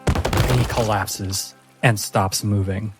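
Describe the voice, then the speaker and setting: A man working as game master, narrating a tabletop fantasy game dramatically with suspenseful, deliberate phrasing. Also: fairly low pitch, steady tone, soft background music.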